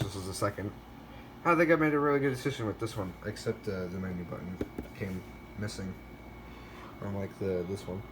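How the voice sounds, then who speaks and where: A man talks casually through a hands-on show-and-tell in a hesitant, rambling manner. He corrects himself as he goes, at an ordinary medium pitch. There is some noise in the recording. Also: poor audio. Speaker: video creator showing a weather radio collection.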